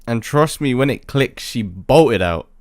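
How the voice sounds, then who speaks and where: A man's voice: medium pitch, lively intonation, a little slow, no echo, clean studio sound. A young British man telling comic anecdotes casually, in a slangy, sweary, matey way. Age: young adult. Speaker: YouTube storyteller.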